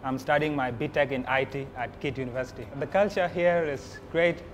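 A man's voice: mid-range voice, brisk, slight background noise. A young man, a student giving a testimonial. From South Sudan. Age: young adult.

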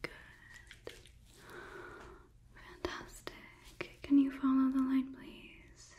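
A young woman doing a whispered ASMR roleplay as a polite, clinical examiner, softly giving instructions up close to the microphone. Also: sits high, completely flat delivery, lo-fi sound. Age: young adult. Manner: soft, deliberate, instructive. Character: polite, clinical robot overlord examining a human. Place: small indoor room set. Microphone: close microphone, just out of frame.

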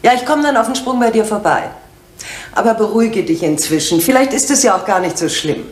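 An actress playing a practical, sympathetic middle-aged friend in a vintage TV re-enactment, colloquial and emphatic. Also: female voice, fairly high pitch, animated, fairly quick, close to an everyday voice. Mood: calming. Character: practical, sympathetic woman friend, frankly critical. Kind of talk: 1980s TV re-enactment acting. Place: indoor rooms.

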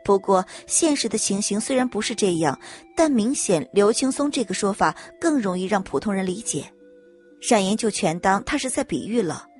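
A woman's voice: medium pitch, animated, moderate pace, a little mellow. A woman reading a scripted story as a dramatic audiobook narrator. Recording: music bed under narration.

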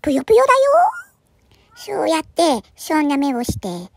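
A woman voicing a cheeky, excitable young female cat who teases in cutesy baby talk. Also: high-pitched, unhurried, vocal fry, no echo, heavily acted. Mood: teasing.

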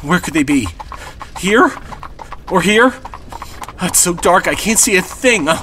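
A man's voice: high voice, animated, unhurried, pushed and bright, heavily acted. Scared young man in a horror audio drama, jumpy swooping voice, carriage noise behind him. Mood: panicky. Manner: nervous, stammering, dramatic. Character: frightened, anxious young male carriage passenger.

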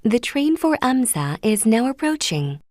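A woman's voice: a little high, sing-song, drawn-out words, darkish tone, dry recording, clean studio sound. A woman reading short scripted train station announcements, formal and carefully enunciated. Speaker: English-language station announcer. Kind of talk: scripted public transit announcement.

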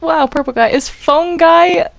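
A woman chatting casually in a game voice chat, hesitant and halting, often sounding confused. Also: very high-pitched, vocal fry. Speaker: gamer in a group roleplay.

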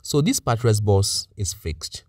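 Male tutorial narrator, lower register, plain-spoken technician delivering orderly instructions, recorded dry. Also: animated, a little slow, a little mellow, dry recording. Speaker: technician presenting a how-to tutorial.

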